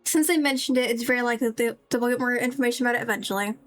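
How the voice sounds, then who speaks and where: A woman's voice: a little high, racing. A woman streaming a game, giving casual, chatty, playfully mocking commentary as she plays. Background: game music.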